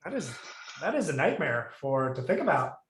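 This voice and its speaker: A man's voice: on the high side, sing-song, normal speed. Echoey man teaching on a video call. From Puerto Rico. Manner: thinks aloud, frequent restarts and fillers. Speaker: instructor. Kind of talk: live coding lesson review.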